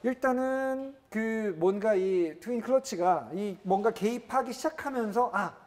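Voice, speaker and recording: A man hosting a conversational interview, expressive and wordy as he thinks his questions through aloud. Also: sits high, measured pace. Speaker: host interviewer and car reviewer.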